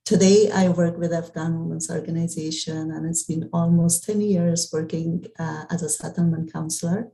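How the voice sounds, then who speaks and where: Low gravelly woman, steady introduction. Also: normal speed, few pauses. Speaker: settlement counselor, guest. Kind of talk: prepared self-introduction. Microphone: video call mic.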